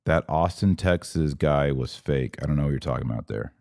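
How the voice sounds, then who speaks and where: Low-voiced guy doing religious podcast q&a, emphatic, repeats himself, really dry sound. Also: fairly quick, gravelly, darkish tone, dry recording. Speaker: podcast host answering live comments. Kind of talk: religious commentary, casual live Q&A.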